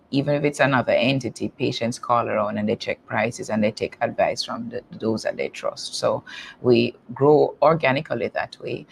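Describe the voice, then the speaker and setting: A man speaking as a company executive, presenting results to shareholders in measured, formal phrasing with halting pauses. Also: mid-range voice, creaky, a little hiss or hum. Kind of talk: semi-scripted earnings call presentation.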